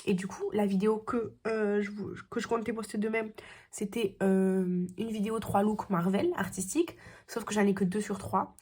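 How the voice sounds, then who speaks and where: A woman's voice: mid-range voice, warm tone, breathy edge. A young woman with a stuffy nose from a cold, chatting casually in a meandering beauty vlog at home, full of hesitations. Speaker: beauty vlogger.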